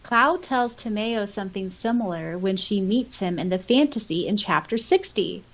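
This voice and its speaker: A woman's voice: mid-range voice, lively intonation, moderate pace, fairly bright tone, rough recording. A woman co-hosting a podcast, chatting casually and gushing with enthusiasm over listener comments and favorite stories. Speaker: podcast co-host. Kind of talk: casual chat, reading and reacting to listener comments.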